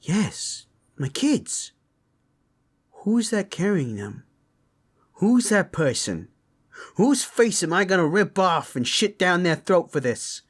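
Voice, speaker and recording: A man's voice: a little high, very animated, a little slow, long pauses. A man playing a hot-tempered, protective beast father in a fantasy audio drama, speaking in a blunt, threatening way. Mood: frantic anger.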